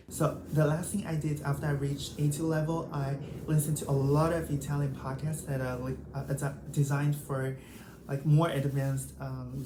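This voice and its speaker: A woman's voice: sits low, warm tone. A woman with a Chinese accent, a native Chinese speaker, casually sharing language study tips as a vlogger. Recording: roomy, echoing sound.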